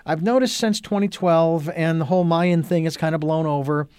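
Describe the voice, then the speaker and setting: Male talk-radio host, slightly high-pitched, earnest and rambling, close dry mic. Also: normal speed, darkish tone, smooth, no room sound. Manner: rambling, many ums and uhs, earnest. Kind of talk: casual talk radio chat.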